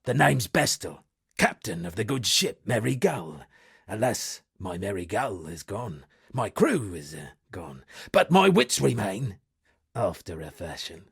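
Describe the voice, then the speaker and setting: Gravelly, theatrical shipwrecked sea captain. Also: male voice, lowish voice, sing-song, a little slow, a bit bright, no echo, studio quality, full character voice. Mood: theatrical, rueful. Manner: florid, dramatic, alliterative declaiming. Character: eccentric male shipwrecked sea captain and pompous amateur poet. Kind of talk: theatrical video game character dialogue.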